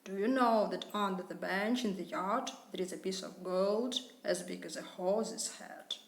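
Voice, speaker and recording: Woman doing a big gruff bear, lowered and slow, echoey room. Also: lightly acted. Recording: roomy echo.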